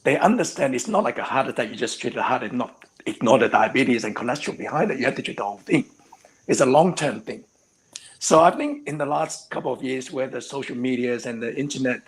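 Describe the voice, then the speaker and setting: A man of middle pitch speaking as a surgeon on an online panel. He explains in an earnest, steady way, leaning on the word "actually" often. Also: low-quality recording. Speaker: hair transplant surgeon, panelist. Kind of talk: live stream panel discussion.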